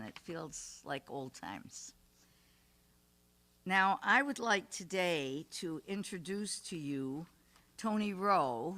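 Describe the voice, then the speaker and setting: An older woman with a loud, carrying voice introduces committee members and a guest speaker to a room of residents. She speaks in a fairly low register and laughs easily between questions. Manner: loud voice, warm welcoming announcer tone, laughs often. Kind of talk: live introduction and interview at a residents' gathering. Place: community room at a retirement residence.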